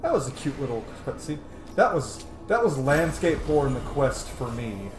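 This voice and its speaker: A man's voice: mid-range voice, sing-song, normal speed, echoey room. A man giving casual, informal gameplay commentary in short, sometimes sweary asides. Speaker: video game streamer. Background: game music.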